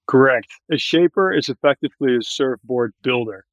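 A man's voice: mid-range voice, normal speed, no echo. Articulate male guest, dry slightly dull sound. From Barbados. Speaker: podcast guest, tech growth manager. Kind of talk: casual interview chat.

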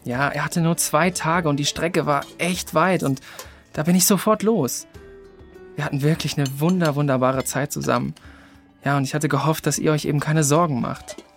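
A man playing a gentle, thoughtful, friendly character in a children's audio drama, explaining things a little hesitantly. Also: fairly high pitch, fairly even intonation, moderate pace, faint music behind, lightly acted. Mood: earnest, relieved.

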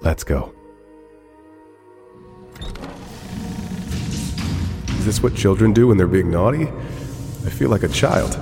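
Proud, wistful male android for sci-fi audio drama: low-pitched, formal, articulate, music underneath. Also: animated. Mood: cautious. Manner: formal, deliberate, articulate phrasing.

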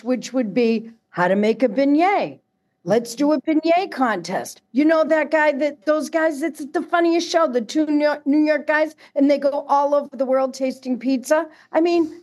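A woman's voice: high-pitched, darkish tone. A woman with a Boston accent, a casting director, talking casually and emphatically as a guest on a talk show.

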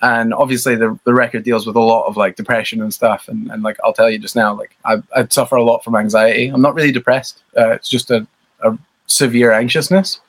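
A man's voice: medium pitch, level tone, fairly quick. A Scottish man in his thirties, a band member talking casually as an interview guest, rambling and self-deprecating with lots of fillers.

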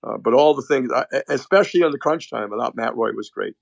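Male analyst, gravelly, dry recording, animated chatty delivery. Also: medium pitch, quick pace, no room sound. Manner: animated, restarts often. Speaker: hockey analyst. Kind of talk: casual sports chat.

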